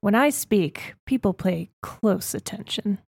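A woman playing a tabletop game, describing her character in a playful, unhurried way. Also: mid-range voice, lively intonation, vocal fry, a little mellow, slightly rough.

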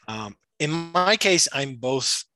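A man's voice: on the high side, animated, takes it slowly, pushed and bright. A middle-aged man from the United States, a shamanic practitioner, answering interview questions in a thoughtful way with many fillers and restarts. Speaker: shamanic practitioner and retreat facilitator. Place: Peru.

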